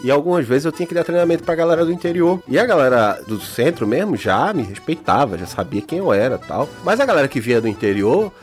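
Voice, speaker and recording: A man from Northeast Brazil with a Northeastern Brazilian accent, telling stories in casual chat, animated and swearing as he acts out dialogue. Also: a little high, fast, hardly any pauses, soft background music. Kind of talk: casual storytelling.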